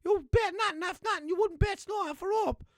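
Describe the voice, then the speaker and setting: A man voicing a cheeky, brash young girl cartoon pig with a Limerick accent, in a shrill, squealing voice. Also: a little fast, no echo, clean studio sound. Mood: taunting.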